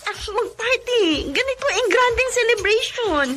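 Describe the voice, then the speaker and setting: An actress in a radio drama playing a gentle, polite young adopted daughter who is about to marry. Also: female voice, extremely high pitch, expressive, a little slow, faint music behind, full character voice. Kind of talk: classic radio drama acting.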